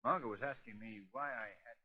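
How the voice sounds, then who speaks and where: An actor playing an urbane, unruffled, good-humoured gentleman detective in a 1940s radio drama. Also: medium pitch, quick pace, husky, close to an everyday voice. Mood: easygoing. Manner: easygoing, chuckling, polite. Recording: dull lo-fi 1940s radio recording.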